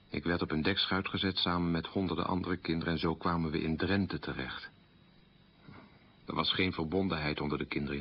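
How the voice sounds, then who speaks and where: A troubled middle-aged man in a confessional radio monologue. His voice is deep and a little husky, and he speaks soberly in measured, articulate phrases. The sound is slightly dull and lo-fi. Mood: sombre. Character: troubled man burdened by his family's collaborationist past.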